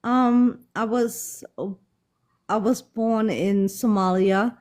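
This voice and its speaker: Woman from germany, talks slow and easy, friendly chat on a live. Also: fairly high pitch, fairly even intonation, darkish tone. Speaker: guest. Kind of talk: casual chat.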